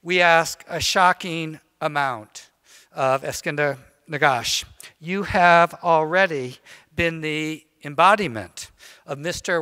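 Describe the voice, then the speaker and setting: An older man presenting an award at a college ceremony, speaking formally with gentle teasing. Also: fairly high pitch, clear, resonant tone. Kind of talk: ceremonial remarks. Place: large college concert hall. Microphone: podium mic.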